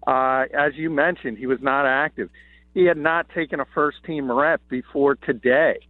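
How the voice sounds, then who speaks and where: A slightly hoarse man, an NFL columnist calling in, gives confident, opinionated analysis with many "uh" fillers. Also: mid-range voice, fairly even intonation, moderate pace, no echo. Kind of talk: sports radio analysis by phone. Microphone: phone. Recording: tinny dry phone line.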